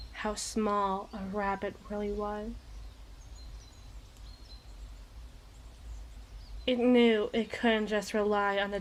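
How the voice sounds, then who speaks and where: A woman playing an obsessive, eloquent evil queen in a dramatic ASMR roleplay monologue, with deliberate, theatrical phrasing and pauses. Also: medium pitch, slight background noise, close to an everyday voice. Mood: grim, menacing.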